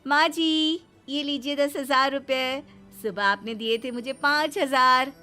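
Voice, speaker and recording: Casting: cheeky, defiant young gambling wife for a story cartoon; high voice, boastful delivery. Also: female voice, a little slow, pushed and bright, faint music behind. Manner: theatrical, boastful. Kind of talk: Hindi moral-story cartoon dubbing.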